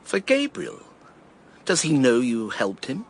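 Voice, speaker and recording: An actor playing a polite, puzzled gentleman in a radio drama. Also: mid-range voice, wildly swooping pitch, slow, slight background noise. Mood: curious, puzzled. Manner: polite, genteel. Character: courteous, puzzled, gentlemanly male observer.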